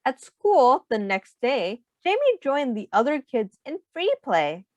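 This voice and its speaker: A woman reading a children's storybook aloud in an expressive, simple teacher's manner, pausing to put questions to young listeners. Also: high voice, dry recording, dull audio.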